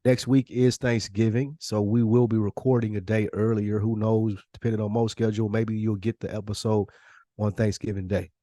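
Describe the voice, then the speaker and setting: A man's voice: lowish voice, little pitch movement, normal speed, dark tone, dry recording, studio quality. An American man co-hosting a podcast in casual, slangy chat, with a blunt, emphatic way of talking. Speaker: podcast co-host.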